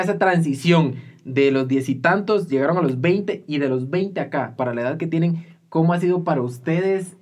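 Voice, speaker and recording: A man's voice: on the high side, a little mellow. A man in his twenties with a Guatemalan accent, chatting casually and jokily as a podcast host. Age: 20s. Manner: informal, jokey. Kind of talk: casual chat.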